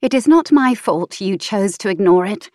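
A woman voicing an impudent young mistress in an audiobook, with a high, airy, pert voice. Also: expressive, a little slow, no echo, studio quality. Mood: indignant. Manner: pert, teasing.

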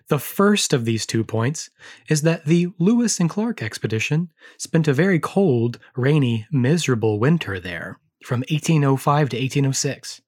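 Slightly hoarse male co-host, chatty and jokey, nerding out on history. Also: on the high side. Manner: joking, chatty, history-nerd asides. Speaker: podcast co-host. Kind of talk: casual chat.

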